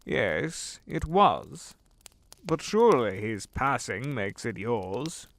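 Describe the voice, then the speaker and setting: A man playing an ancient, suave devil gentleman. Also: mid-range voice, big pitch swings, slow, vocal fry, exaggerated voice. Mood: genial. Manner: genteel, condescending, drawling. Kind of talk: fan audio drama acting.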